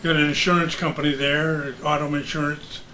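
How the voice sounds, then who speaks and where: An older man chatting casually as a guest, halting and repeating his words as he remembers. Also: a little high, level tone, pushed and bright, slight background noise, poor audio. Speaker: guest, broadcasting old-timer. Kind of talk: casual chat.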